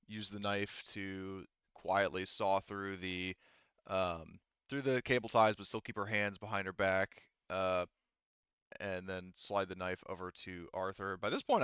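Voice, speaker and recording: A man's voice: low-pitched, steady tone, normal speed, a bit bright, clean tone, dry recording, dull audio. A man narrating a tabletop game as game master, speaking casually and informally, with some swearing. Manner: profane, informal.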